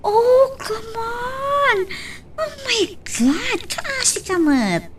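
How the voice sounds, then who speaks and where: A woman playing a chatty, outgoing young woman in an Ilocano radio drama, with expressive, drawn-out phrasing. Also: very high-pitched, crowd noise, full character voice. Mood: dramatic sympathy.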